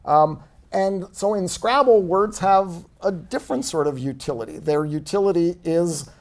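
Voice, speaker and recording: A man, an author, chatting at a bookstore event, self-deprecating and relaxed, with plenty of fillers. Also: high-pitched, normal speed. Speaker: author being interviewed. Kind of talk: casual conversation at a book event.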